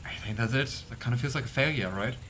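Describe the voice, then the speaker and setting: A young man casually commenting on a game he plays, musing aloud and unsure, often trailing into low mumbles. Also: fast talker, a bit bright, soft background music. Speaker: let's play host.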